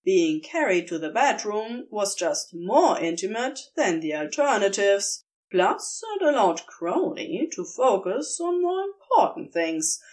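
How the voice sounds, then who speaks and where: A woman reading fan fiction aloud in soft, unhurried narration. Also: mid-range voice. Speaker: podfic reader.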